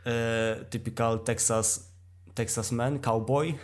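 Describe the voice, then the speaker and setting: A man with a non-native English accent co-hosting a casual podcast conversation, speaking haltingly as he searches for words. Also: mid-range voice, steady tone, a little hiss or hum. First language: not English. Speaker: podcast co-host.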